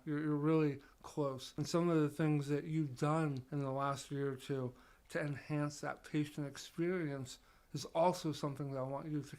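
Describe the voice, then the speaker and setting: A man's voice: fairly high pitch, darkish tone. A man hosting a podcast in a conversational interview style. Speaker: podcast host.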